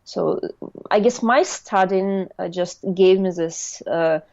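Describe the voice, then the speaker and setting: A woman's voice: fairly low pitch, slow pace, gravelly, a little mellow, no room sound, muffled recording. A young adult woman from Russia with a Russian accent, a guest speaking English as a second language in a casual interview, hesitant with many fillers.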